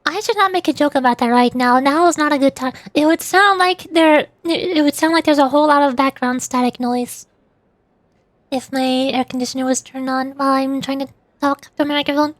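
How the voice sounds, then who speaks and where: A woman's voice: high voice, a little fast, dry recording. A giggly woman streamer chatting casually to her viewers on a livestream.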